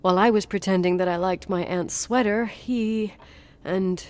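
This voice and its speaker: A woman's voice: mid-range voice, fairly even intonation, moderate pace, darkish tone, natural voice. An actress playing a strong-willed young woman in a video game, guarded and dryly teasing, haunted by her past. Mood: wistful, heavy. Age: young adult. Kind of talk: naturalistic video game voice acting.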